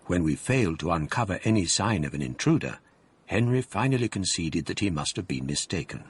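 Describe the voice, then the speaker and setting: A man giving grave, measured narration of a period ghost story for radio drama. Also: deep voice, expressive, a little hiss or hum.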